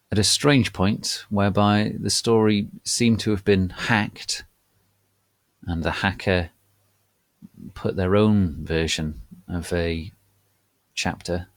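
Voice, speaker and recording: A low-pitched man reads as host of a dramatic reading. The delivery is formal, grave and mock-solemn, with very deliberate, slow pacing. The sound is dry and close. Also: dry recording. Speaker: host and reader.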